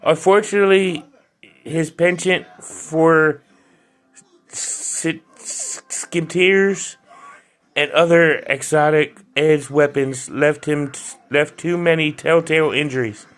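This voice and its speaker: A man's voice: a little high, slow pace, fairly bright tone. A man giving a loose, chatty video review of an action figure, with frequent restarts and fillers. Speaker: toy reviewer.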